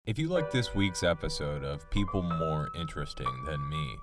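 A man reading a scripted podcast outro in a lively announcing manner. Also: very deep voice, moderate pace. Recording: music bed under the voice.

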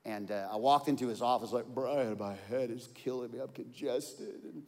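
A pastor in his forties preaching a sermon, telling stories and swinging from quiet asides to loud outbursts. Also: mid-range voice, normal speed. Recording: long hall reverb.

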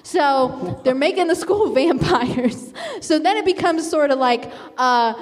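Female, late teens, high-pitched, chatty and rambling improv storyteller, long hall reverb. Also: expressive, a little slow. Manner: rambling, conversational, peppered with "like" and "you know". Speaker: game show contestant. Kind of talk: improvised comic movie pitch, casual chat.

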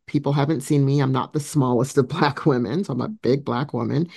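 A soft-spoken woman hosting a casual interview. Her naturally deep voice is kept gentle, and she pauses on fillers as she builds her questions. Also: fairly even intonation, creaky, slightly rough. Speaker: podcast host.

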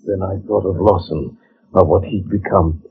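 A troubled old-time radio narrator, really low voice, intense and haunted, muffled vintage sound. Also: male voice, fairly even intonation, normal speed. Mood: entranced. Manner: dramatic, hushed narration breaking into anguished pleading. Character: troubled gentleman narrator, haunted and torn by longing. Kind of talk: 1940s radio drama acting. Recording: dull 1940s radio recording.

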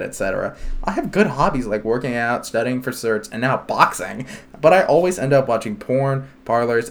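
Deadpan man reading posts aloud. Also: mid-range voice. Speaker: podcast co-host.

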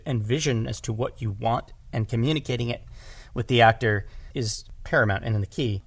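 A man's voice: medium pitch, gravelly, fairly bright tone. A man talking casually and emphatically in an interview about his craft, restating phrases as he explains. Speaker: audio drama director and podcast guest; former stage actor.

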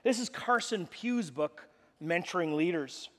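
A man preaching a sermon in a church, with a loud, animated delivery and frequent restarts. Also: high-pitched, a little slow. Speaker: pastor. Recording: long hall reverb.

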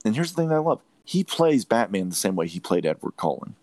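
A low-pitched man speaks as a podcast host in a close, dry recording. He shares opinionated takes on films, leaning on repetition and emphasis to drive his points home. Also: very animated, fast talker, a little mellow, dry recording. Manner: emphatic, repeats phrases for stress. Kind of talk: opinionated movie review chat.

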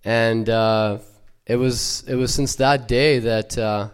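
A young man from Ukraine with no accent, giving a halting, earnest personal church testimony full of fillers and restarts. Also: medium pitch, fairly even intonation, clear, resonant tone.

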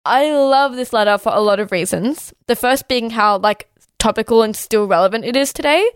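A young woman hosting a podcast in casual chat. She has a sore throat, so her voice is slightly hoarse, and she talks fluently with many "like" and "uh" fillers. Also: on the high side, no room sound. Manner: chatty, fast-flowing, with "like" and "uh" fillers. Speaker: podcast host.

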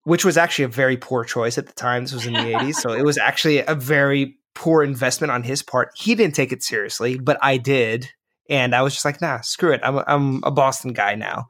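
A man's voice: medium pitch, a little fast. Easygoing laughing man, podcast guest. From Egypt. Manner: easygoing, laughs often, relaxed storyteller. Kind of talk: casual interview chat.

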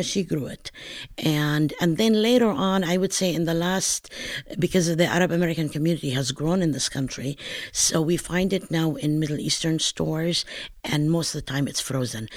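A woman's voice: low voice, no room sound, studio quality. An older woman from Palestine with a northern Palestinian accent, chatting in an oral history interview as a home cook, telling stories in a digressive, talkative way.

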